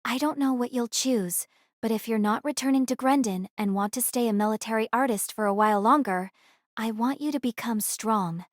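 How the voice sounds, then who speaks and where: A woman playing a resolute, sincere young female military captain, speaking in an earnest, measured way in an audio drama. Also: on the high side, no room sound, studio quality, voice pushed up, lightly acted. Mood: solemn, earnest.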